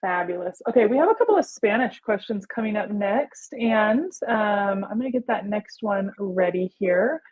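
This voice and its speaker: A woman hosting a live-stream Q&A, moving briskly between questions with upbeat reactions. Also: medium pitch, animated, a little mellow. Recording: muffled lo-fi audio.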